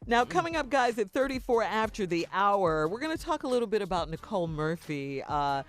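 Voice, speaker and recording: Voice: medium pitch, sing-song, a little fast, faint music behind. A radio co-host chatting casually and steering a morning show from topic to topic.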